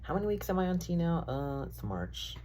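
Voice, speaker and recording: A man's voice: a little high, very animated, fairly quick, warm tone, slight background noise. A young man rambling casually in a diary-style vlog, full of fillers, swearing and self-deprecating asides. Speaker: vlogger.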